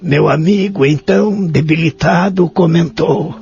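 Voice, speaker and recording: An actor playing a humble, lonely elderly Brazilian man in a radio drama, formal, sincere and deliberate. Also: on the high side, vocal fry, darkish tone, a little hiss or hum. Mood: reflective. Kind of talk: radio drama acting and narration. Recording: dull lo-fi audio drama recording.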